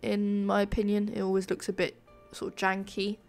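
A woman giving casual, informal commentary over a game building video, sounding nasal and a bit flat from a sore throat. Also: medium pitch, moderate pace, faint music behind. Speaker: gaming video creator, narrator.

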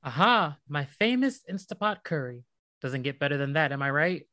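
Easygoing young husband, lively intonation, casual, upbeat, doting, radio play, dry close sound. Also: male voice, on the high side, normal speed, dry recording. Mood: proud, cheerful. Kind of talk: naturalistic radio drama dialogue.

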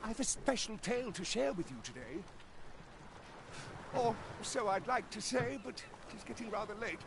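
A man's voice: high voice, lively intonation, normal speed, gravelly, noisy background. An actor playing an ancient, wise male storyteller in a video game, speaking in old-fashioned, musing phrases.

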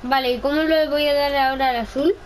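A female gamer speaks in a high voice, casually chatting during co-op play. She often questions her partner. Clear noise runs through the recording. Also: fairly even intonation, fast. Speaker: gamer playing co-op. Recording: clearly noisy home gaming recording.

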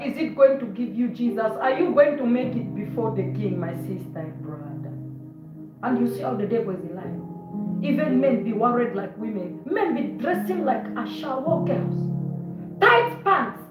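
Woman, 40s, home preacher, emphatic and repetitive; high-pitched, echoey lo-fi room sound. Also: lively intonation, unhurried, over music. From Zambia. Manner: emphatic, repeats words, moves about while preaching. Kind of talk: fiery home sermon. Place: living room of a house, indoors. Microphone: phone camera on a stand at a short distance. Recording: roomy, dull lo-fi phone audio.

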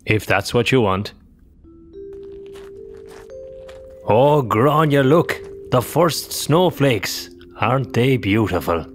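A man playing a gentle, affable Irish father in a children's audio drama. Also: medium pitch, animated, slow pace, soft background music.

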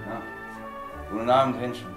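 A man's voice: mid-range voice, flat delivery, moderate pace, lightly acted. A man playing a jovial, blunt village blacksmith in a German radio drama, talking in a folksy, hearty way. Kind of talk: mid-century German radio drama acting. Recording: 1960s radio play with music behind.

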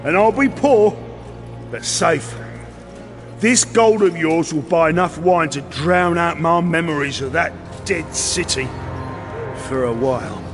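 A weary, wary mercenary captain played by a man talks bluntly in a fairly high male voice, used to giving orders. Music runs behind him in this fantasy audio drama. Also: measured pace, pauses often, natural voice. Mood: wary, resigned. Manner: plain-spoken, gruffly commanding.

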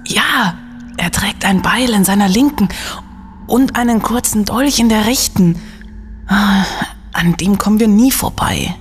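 A woman playing a curious, wary young student scouting ahead in an audio drama, with halting delivery. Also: medium pitch, soft background music, natural voice. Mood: tense.

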